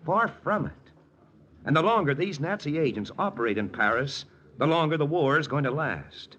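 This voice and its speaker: An actor playing a wry American army lieutenant hunting spies, in a 1940s radio drama with first-person narration. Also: male voice, on the high side, lively intonation, moderate pace, fairly bright tone, slight background noise. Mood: urgent, persuasive. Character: wry American army lieutenant and spy-hunter, quietly lovesick. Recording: muffled old radio recording, music behind.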